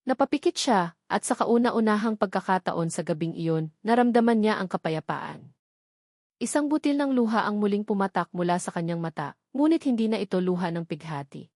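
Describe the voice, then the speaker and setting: A woman's voice: mid-range voice, lively intonation, normal speed, a little mellow, no room sound, clean studio sound. A woman with a Filipino accent reading a scripted, dramatic love-story narration.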